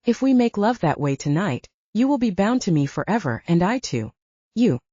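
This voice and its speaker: A woman reading the lines of a calm, earnest male werewolf alpha in an audiobook. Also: mid-range voice, normal speed, dry recording, lo-fi sound.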